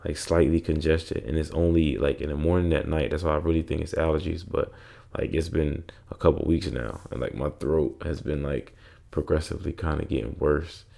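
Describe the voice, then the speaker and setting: Young male podcast host, congested and sore-throated; deep, dark, gravelly voice, rambling casual delivery. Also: steady tone, fairly quick, few pauses. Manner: rambling, many fillers and restarts. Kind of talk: casual solo podcast chat.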